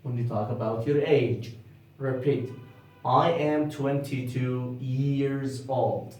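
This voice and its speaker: A young man with an Arabic accent teaching beginner English, repeating each model sentence slowly and clearly. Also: medium pitch, fairly even intonation, a little mellow, soft background music. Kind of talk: beginner English lesson, slow repetition. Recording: very echoey room, distant from mic.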